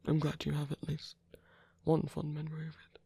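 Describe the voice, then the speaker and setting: Male actor as weary rebel villain for ASMR audio drama: husky, creaky, halting murmur, tender and gently teasing, very close and dry. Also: on the high side, monotone, dry recording, heavily acted. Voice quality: breathy, gravelly, often near a whisper. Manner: hesitant, halting, murmured with pauses.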